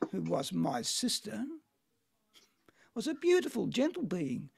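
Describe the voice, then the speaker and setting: A man's voice: very high voice, gravelly, a bit bright, breathy edge, exaggerated voice. A man reading the part of a bitter, envious, sardonic middle-aged man in an amateur stage play. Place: at home on a video call. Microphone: video call microphone.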